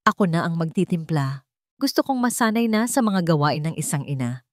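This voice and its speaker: A woman's voice: lowish voice, expressive, a little fast, dry recording, clean studio sound, close to an everyday voice. A woman voicing a sweet-talking, coolly confident rich fiancée in an audio story, poised and sugary.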